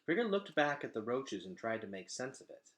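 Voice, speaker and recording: A man reading a children's novel aloud as an expressive storyteller. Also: medium pitch, brisk, a little hiss or hum.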